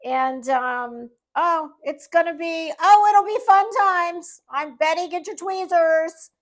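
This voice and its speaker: A woman giving casual, off-the-cuff YouTube commentary in a sarcastic, condescending, halting and emphatic manner. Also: very high voice.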